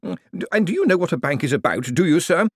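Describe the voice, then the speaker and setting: A man's voice: fairly high pitch, animated, a little fast, no echo, clean studio sound, voice pushed up. A man doing a prim, stammering bank cashier. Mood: indignant. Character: fussy, easily offended male chief cashier.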